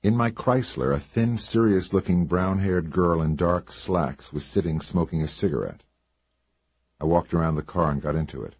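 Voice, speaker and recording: A man's voice: low-pitched, moderate pace, breathy edge, no echo. A man narrating a hardboiled detective story in first person, laconic and wry. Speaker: audiobook narrator.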